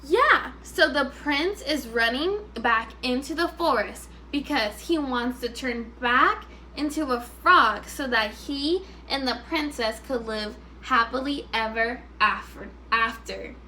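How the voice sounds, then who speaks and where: A woman teacher reading a story aloud to first graders, expressive and instructive, pausing to put questions to them. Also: sits high, slight background noise.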